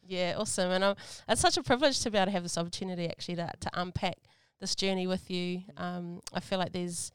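A woman with a New Zealand accent hosting a casual interview, relaxed and friendly, mixing in Māori greetings. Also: lowish voice, fast, hardly any pauses, clean tone, no room sound. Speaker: host.

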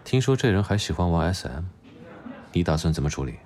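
An actor playing a cool young male doctor in an audio drama, gruffly teasing in a dry, blunt, exasperated manner. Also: low-pitched, big pitch swings, brisk, a little mellow. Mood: calm, matter-of-fact. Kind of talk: Chinese audio drama acting.

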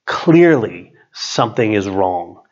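A middle-aged man, an author and coach, reads his own written text as scripted narration in a measured, deliberate way. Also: fairly low pitch, sing-song, lo-fi sound. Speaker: author, business and leadership coach.

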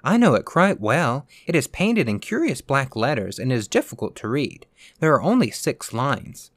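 A man's voice: medium pitch, sing-song, normal speed, dry recording, studio quality. A man voicing an earnest, brave little girl in a storybook reading, prim and matter-of-fact in manner. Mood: eager.

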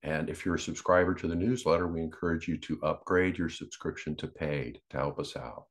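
A man co-hosting an advocacy podcast, explaining things unscripted in a measured, matter-of-fact way. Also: a bass voice, steady tone.

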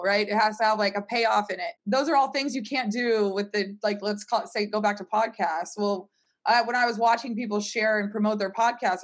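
Casual woman explaining in conversation. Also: medium pitch. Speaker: startup team member, panel guest.

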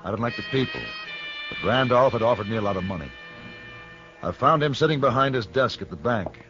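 An actor playing a suave, worldly American gunfighter for hire with dry, formal diction in a 1950s radio western. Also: male voice, mid-range voice, expressive, brisk, faint music behind, close to an everyday voice. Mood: grim narration. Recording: muffled old radio broadcast.

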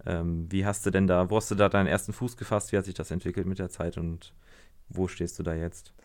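A man's voice: deep voice, fairly even intonation, fairly quick, darkish tone. A young man hosting a casual podcast interview, talking hesitantly with many fillers and restarts. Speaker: podcast host.

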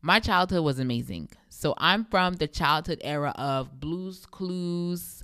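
Deep-voiced young woman podcast host. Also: unhurried, fairly bright tone, smooth, no room sound, clean studio sound. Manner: emphatic, repeating phrases for effect. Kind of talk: devotional podcast talk.

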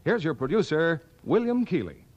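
A man's voice: fairly high pitch, big pitch swings, normal speed, muffled recording. A man with an American accent delivering scripted 1940s radio announcements and advertising patter.

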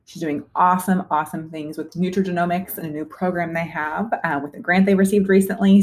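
A woman co-hosting a podcast, introducing the guest in an upbeat way and laughing often. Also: sits low. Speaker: podcast co-host. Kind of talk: casual podcast intro and interview.

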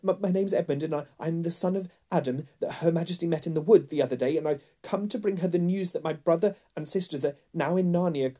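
A man's voice: medium pitch, moderate pace, warm tone, pitched above the performer's own voice, close to an everyday voice. A man voicing a frightened young boy in a storybook, stammering and pleading as he trembles. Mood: nervous. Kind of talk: storybook character voice.

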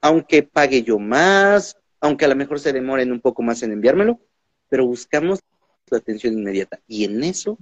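A man with a Mexican accent hosting a podcast interview in a casual, animated way, often repeating his words. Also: medium pitch, a little fast, no echo. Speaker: podcast host. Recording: dull lo-fi sound.

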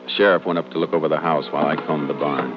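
An actor playing a steady, businesslike Texas Ranger investigator in a terse, matter-of-fact 1950s radio drama style. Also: male voice, a bass voice, racing, over loud music. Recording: noisy, muffled old radio recording.

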